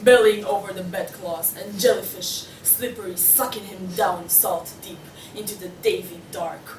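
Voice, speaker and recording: A woman's voice: medium pitch, steady tone, normal speed, lightly acted. A young woman playing a poetic narrator of dreams, reciting dramatically in a table read. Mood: dramatic. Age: young adult. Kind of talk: table read of a radio play. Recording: echoey room, distant mic, faint noise.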